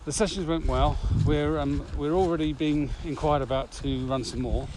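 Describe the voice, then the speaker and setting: A middle-aged man co-hosting a podcast, talking casually while walking outdoors, a bit breathy and lively when he rants. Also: on the high side, moderate pace. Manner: slightly breathy from walking, animated when ranting. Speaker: podcast co-host, virtual training company. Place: outdoors, windy. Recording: loud outdoor wind noise.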